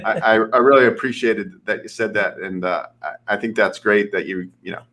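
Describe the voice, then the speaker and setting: A man's voice: fairly low pitch, normal speed, fairly bright tone. Rambling man in his forties. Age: 40s. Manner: rambling, many restarts and fillers. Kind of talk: casual chat.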